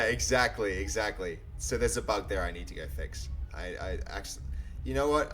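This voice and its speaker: A man live-coding on a stream, chatting casually and rambling with lots of fillers, restarts and easy chuckles. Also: lowish voice. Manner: easygoing, chuckles often.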